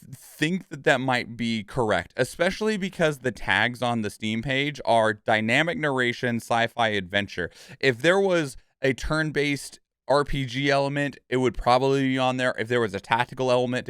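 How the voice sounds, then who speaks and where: Clear-voiced man rambling through casual reaction commentary. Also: mid-range voice, a bit bright, no room sound, studio quality. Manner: rambling, thinking aloud, conversational. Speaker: streamer and reaction video host.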